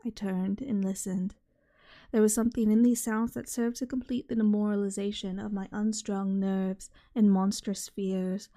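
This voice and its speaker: A soft-spoken woman reading a horror short story in a measured ASMR style. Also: mid-range voice. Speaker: reader, channel host.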